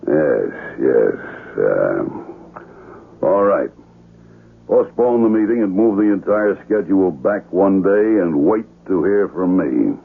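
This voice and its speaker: Very deep, gravelly, calculating spymaster. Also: male voice, lively intonation, narrow, tinny audio, slight background noise, full character voice. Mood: pondering, decisive. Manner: deliberate, authoritative, analytical. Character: cold, calculating older male spymaster giving orders. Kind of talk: 1970s radio drama acting. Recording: thin, band-limited vintage radio recording.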